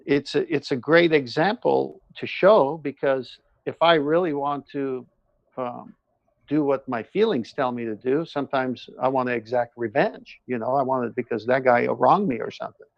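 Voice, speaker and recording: Gravelly-voiced earnest man, muffled audio. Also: medium pitch, normal speed, no room sound. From born in Iran, moved to the United States at seven. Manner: talkative, earnest, emphatic, repeats phrases. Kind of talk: casual conversation, personal testimony and opinion.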